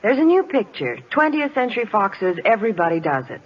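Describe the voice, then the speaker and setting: A woman gives a scripted 1940s radio promotion as a reporter. Her intonation is lively and varied. The recording is muffled, like an old broadcast. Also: fairly high pitch, a little fast. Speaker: Hollywood reporter on radio show.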